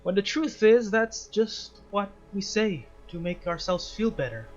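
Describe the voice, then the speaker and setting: A man's voice: high-pitched, lively intonation, a little slow, lo-fi sound. A man hosting a casual game playthrough, talking reflectively and often repeating his phrases as he goes. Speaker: gaming video host.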